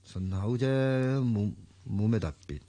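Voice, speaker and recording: A man's voice: on the low side, lively intonation, unhurried, dark tone, slightly rough, no room sound, full character voice. An actor playing an earnest young man in love in a Cantonese radio drama, insistent and sincere, with a Hong Kong accent. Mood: defensive.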